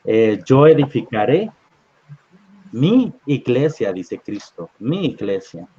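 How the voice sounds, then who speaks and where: A man leading a live-streamed Bible study, reading scripture aloud at an unhurried pace and often checking in with "¿verdad?". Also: mid-range voice, sing-song, mellow, slightly rough. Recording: dull lo-fi online stream audio.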